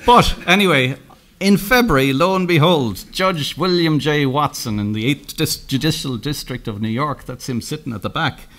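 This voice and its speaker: A middle-aged Irish man giving a conference keynote, telling anecdotes with lots of halting restarts and self-corrections. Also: on the high side, a bit bright. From Ireland. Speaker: keynote speaker. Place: conference room, indoors.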